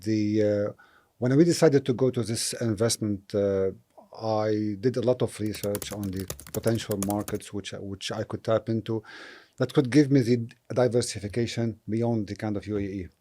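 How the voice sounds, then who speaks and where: A middle-aged man from Lebanon talks through his thinking as an interview guest, often pausing with fillers. His voice sits fairly low and sounds mellow and relaxed. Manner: thoughtful, hesitant with frequent fillers. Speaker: property investor, interview guest. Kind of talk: casual interview answers.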